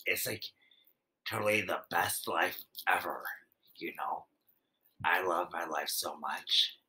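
Casting: silly, hapless yeti puppet performed by a man, low and very bright, repetitive slacker talk for a puppet comedy. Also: takes it slowly, full character voice. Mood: dreamy contentment.

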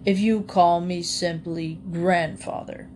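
Woman voicing a slow, pompous ancient bull alligator. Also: lowish voice, steady tone, darkish tone. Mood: stately, curious. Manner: drawling, grandly polite. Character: ancient male alligator, pompous, calm, slyly menacing.

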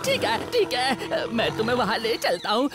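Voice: medium pitch, huge pitch swings, normal speed. An actor voicing a cowardly, sly male jackal in a cartoon, stammering, pleading and whiny, with music underneath. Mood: frantic pleading. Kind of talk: animated cartoon voice acting.

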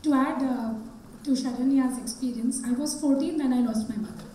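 A middle-aged woman talks candidly with a husky voice that still sits high. Her handheld mic picks up a very echoey room with a faint ambient hum behind her. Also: normal speed, dark tone. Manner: candid, personal. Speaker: music teacher. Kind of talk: panel testimony.

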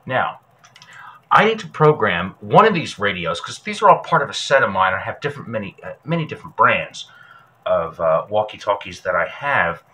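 Bright-voiced man giving a casual demo. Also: on the low side, very animated, moderate pace. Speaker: host, presenter. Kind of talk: casual instructional demonstration.

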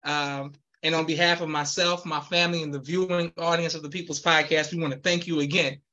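A man's voice: on the high side, flat delivery, fairly quick, pushed and bright. A man hosting a live podcast over a video call, formal and deferential, often saying "yes, sir.". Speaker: podcast host. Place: indoor room with wall of posters. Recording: dull lo-fi video-call audio.

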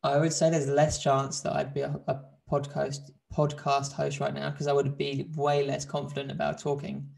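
A young man chatting casually on a video call, talkative and quick to laugh, often restarting his sentences. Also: mid-range voice, completely flat delivery, vocal fry, a little mellow. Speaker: host doing a video challenge. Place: bright home room. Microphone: video call, desk mic.

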